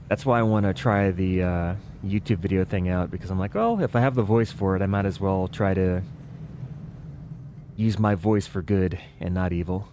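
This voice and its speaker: Low, mellow-voiced man narrating a game. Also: slight background noise. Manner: relaxed, musing, self-doubting asides. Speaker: gaming video creator. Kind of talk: casual gameplay commentary.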